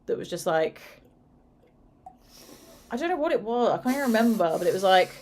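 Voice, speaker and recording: A woman with a fairly deep voice, co-hosting a casual chat in a steady, fairly even manner. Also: brisk, a little hiss or hum. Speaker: co-host.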